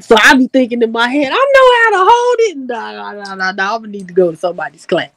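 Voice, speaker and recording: A woman's voice: on the high side, animated, fast talker, noisy background. A woman hosting a casual livestream chat, laughing easily and talking in loose slang. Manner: laid-back, laughing, slangy storytelling. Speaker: host.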